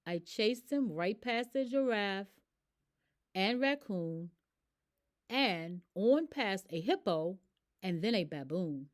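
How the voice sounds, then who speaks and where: A woman reading a rhyming children's story aloud, expressive and emphatic as a storyteller. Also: mid-range voice, slow pace.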